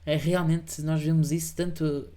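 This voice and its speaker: A man's voice: on the high side, flat delivery, moderate pace, warm tone. A man with a European Portuguese accent hosting a reaction show, chatting casually and insistently urging viewers to subscribe. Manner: insistent, repeats pleas to subscribe and like. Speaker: host reacting to videos. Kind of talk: casual commentary.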